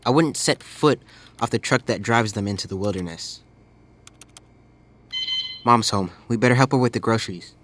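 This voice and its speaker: An actress playing a blunt, sarcastic teenager who judges quickly, in a family audio drama. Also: female voice, very deep voice, a little hiss or hum. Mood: sarcastic, dismissive.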